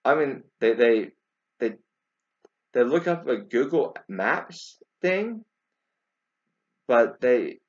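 A man in his forties talks informally to camera in a lively voice that rises and falls a lot. He speaks haltingly and doubles back on his words with emphatic emphasis. The sound is dull and lo-fi, as from a webcam. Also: medium pitch. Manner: halting, repeats words, emphatic rhetorical questions. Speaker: video commentator. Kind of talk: informal spoken commentary to camera.

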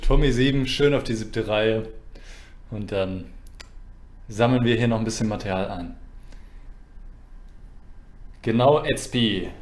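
Man streaming in an echoey room. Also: medium pitch. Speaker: chess streamer and host. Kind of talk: casual livestream chat while playing chess. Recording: roomy, echoing sound.

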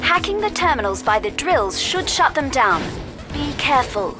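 A woman's voice: high voice, very animated, normal speed, music in the background. A woman voicing a composed, caring mission controller in a video game, earnestly briefing an agent. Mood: concerned.

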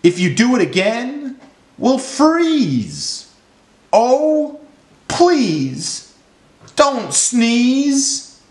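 A man's voice: very high voice, huge pitch swings, extremely slow, long pauses, pitched above the performer's own voice, exaggerated voice. A man voicing a timid, thin-skinned fish that pleads worriedly in a rhyming children's story. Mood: pleading, worried. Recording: roomy, echoing sound.

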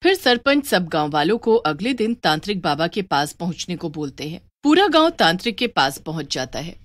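A woman's voice: on the low side, sing-song, normal speed, fairly bright tone, no echo, clean studio sound. A woman narrator delivering scripted story narration.

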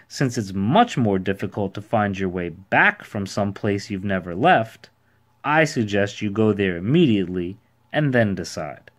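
A man voicing a proud, cheery storybook man who declares things with bright self-importance. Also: fairly low pitch. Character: proud, cheery, impatient ordinary man posing as a giant. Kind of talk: storybook character voice.

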